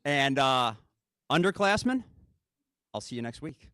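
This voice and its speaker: A man's voice: mid-range voice, sing-song, unhurried, fairly bright tone, dry recording, clean studio sound. A man, the head coach, giving an earnest speech to his team at a ring ceremony, often checking in with "okay?".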